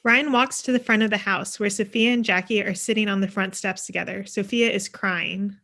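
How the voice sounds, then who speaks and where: A woman's voice: mid-range voice, steady tone, moderate pace, bright, forceful tone. A young woman reading scene headings and stage directions as scripted narration on a video call.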